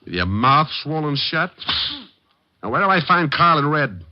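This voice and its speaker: An actor playing a tough, wisecracking private detective with a deadpan, sardonic, coolly menacing delivery, in old-time radio drama style. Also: male voice, a little high, moderate pace, no echo. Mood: calm menace. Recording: muffled, dry vintage radio recording.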